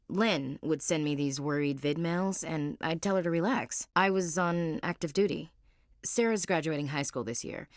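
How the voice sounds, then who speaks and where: A woman's voice: sits low, no echo. An actress playing a blunt, protective young female Marine in a video game, talking in a dry, wry military way. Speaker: soldier. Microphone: close studio mic.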